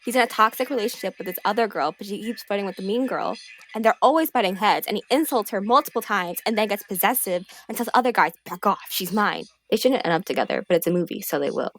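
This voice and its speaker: A woman's voice: medium pitch, lively intonation, fast, a bit bright. A woman playing a sarcastic, grumpy teenage girl in an audio drama, with a dry, irritated, slangy delivery. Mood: bored, dismissive. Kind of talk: naturalistic audio drama acting.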